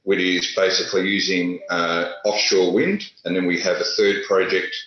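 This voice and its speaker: Low-voiced man on an echoey call. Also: fairly bright tone. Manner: halting, many filler sounds and restarts. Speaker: panelist, industry executive. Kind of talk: conference panel remarks. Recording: echoey, dull lo-fi audio.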